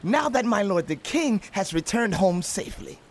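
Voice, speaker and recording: An actor playing a lame, wronged and submissive royal grandson, pleading deferentially in a dramatized audio Bible. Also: male voice, sits high, very animated, moderate pace, a little hiss or hum.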